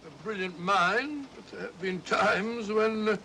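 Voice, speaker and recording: An actor playing a bumbling, genial, self-important older English doctor who blusters and repeats himself in an old mystery film. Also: male voice, high voice, a little slow, creaky. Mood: pompous, eager. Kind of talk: 1940s British mystery film acting. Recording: hissy old film soundtrack.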